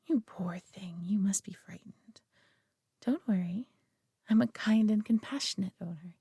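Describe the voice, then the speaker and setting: A woman playing a prideful, possessive alien being in a roleplay audio drama, talking down to the listener with coaxing, deliberate care and dropping into whispers. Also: medium pitch, gravelly, dark tone, slightly rough, no echo, clean studio sound, full character voice. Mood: condescending, soothing. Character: prideful, possessive female superior alien being, condescendingly caring.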